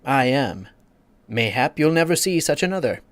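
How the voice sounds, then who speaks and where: A man's voice: mid-range voice, very animated, dry recording, pitched above the performer's own voice, natural voice. Guy putting on a huffy egg fella on a wall, bossy, voice swings up and down a lot. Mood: indignant. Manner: haughty, quick to take offense, lecturing. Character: pompous touchy egg creature on a wall.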